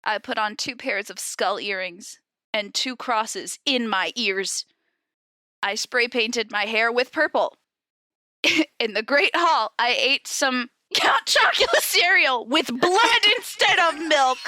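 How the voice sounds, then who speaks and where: A woman's voice: fairly high pitch, animated, slow pace, bright, forceful tone, no room sound. A woman co-hosting a podcast, giggly and exclamatory as she chats and reads fanfiction aloud. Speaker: podcast co-host. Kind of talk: casual chat and giggly fanfiction read-aloud.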